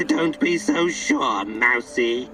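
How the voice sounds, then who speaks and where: A man's voice: fairly high pitch, animated, very slow, far from the mic, music in the background, exaggerated voice. An actor voicing an eccentric, twitchy male vagrant in a video game, speaking in cryptic, theatrical, playful riddles. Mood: cryptic, teasing. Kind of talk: video game character acting.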